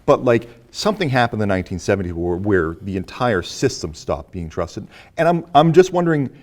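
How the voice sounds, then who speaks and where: A man's voice: on the low side, big pitch swings, fairly quick, a little mellow. A man hosting a casual discussion, inquisitive, building up his questions in layers as he talks. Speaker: host posing discussion questions.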